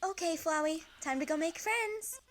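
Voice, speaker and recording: A woman's voice: extremely high pitch, normal speed. A woman voicing a cheerful young child in an amateur comic dub.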